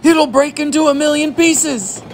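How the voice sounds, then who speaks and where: A storybook reader voicing an excitable, panicky old grandfather who exclaims and shouts. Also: high-pitched, unhurried, a little hiss or hum, voice pushed up. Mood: alarmed.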